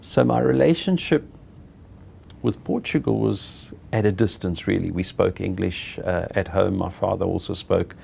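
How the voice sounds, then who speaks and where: A middle-aged South African man being interviewed, telling his own story in an unhurried, halting way and often correcting himself. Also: low-pitched, creaky, darkish tone, slight background noise. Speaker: interviewee, property director. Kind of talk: reflective personal storytelling.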